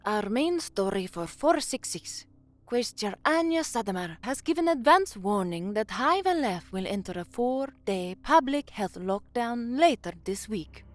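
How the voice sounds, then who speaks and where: An actress playing a young newsreader in a science fiction audio drama, weary and anxious. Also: female voice, fairly high pitch, big pitch swings, unhurried, no echo. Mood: formal newsreading. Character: young slum-born newsreader, anxious, weary, hard-drinking narrator. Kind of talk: audio drama first-person narration and newsreading.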